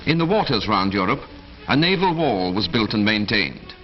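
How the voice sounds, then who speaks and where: A man reading scripted wartime newsreel narration from the 1940s in a solemn, emphatic, dramatic manner with measured phrases. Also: fairly low pitch, sing-song, very noisy. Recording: dull vintage 1940s film soundtrack.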